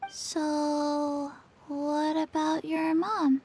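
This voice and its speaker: A woman voicing an emotionless little undead girl in a video game, soft and childlike, speaking in a halting deadpan. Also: high voice, a little hiss or hum. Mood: flat, calm.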